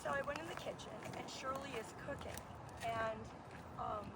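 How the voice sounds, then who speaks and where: A woman speaks informally in tribute at an outdoor gathering, with frequent pauses. She is recorded from far off, and loud outdoor noise covers the voice. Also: high voice. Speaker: club member giving a tribute. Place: outdoors in a garden. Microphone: distant camera mic, no working PA. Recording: very noisy outdoor recording, distant mic.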